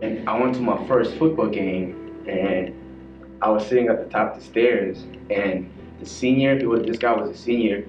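A man's voice: fairly low pitch, normal speed, darkish tone, highs cut off, faint music behind. A teenage boy from Africa, an immigrant student, recounting school anecdotes in a documentary interview. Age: teens. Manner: narrates anecdotes. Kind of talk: storytelling in interview. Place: classroom. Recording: distant, echoey room with faint ambience.

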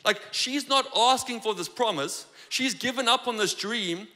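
A young man with a South African accent preaching a joking, animated sermon on a church stage into a handheld mic. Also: very high-pitched, normal speed. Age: young adult. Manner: joking, animated, gestures freely while preaching. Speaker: guest preacher. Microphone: handheld microphone. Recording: long hall reverb.

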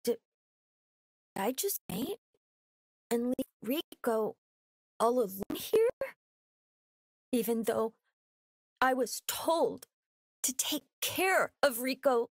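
A woman playing a gentle, earnest young robot boy in an anime game, acting and narrating. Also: fairly high pitch, expressive, slow pace, pauses often, no room sound, full character voice. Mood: guilty worry.

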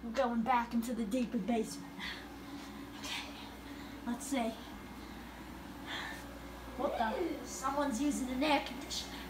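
A woman's voice: fairly high pitch, fairly even intonation, a little slow, long pauses. A young woman co-hosting a playfully melodramatic, improvised mock-horror skit on a handheld camera. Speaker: vlog co-host. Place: dim carpeted home basement. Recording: noisy handheld camera in basement.

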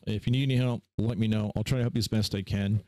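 A man hosting a casual screen-share software tutorial in an informal, offhand way. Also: on the low side, fairly even intonation, fast talker, dry recording. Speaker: tutorial host.

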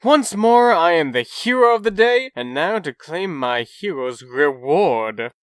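A man's voice: on the high side, big pitch swings, unhurried, smooth, no room sound, clean studio sound. A man voicing a cocky, blustering young soldier in a comic book dub, with a theatrical, exasperated manner. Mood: smug.

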